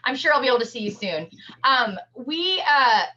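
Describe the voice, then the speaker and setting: A woman's voice: high voice, lively intonation, normal speed, pushed and bright. A woman working as an animal shelter educator, explaining things simply and encouragingly to children over a video call. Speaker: humane society educator. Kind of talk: virtual field trip talk to children. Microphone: computer on a video call. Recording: dull, lo-fi video call audio.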